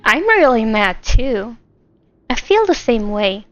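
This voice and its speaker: A woman game streamer with a high voice reacts casually and excitedly to the story. The audio is a bit dull, with soft game music behind her. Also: slow pace. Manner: excitable, egging the characters on. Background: game music and dialogue.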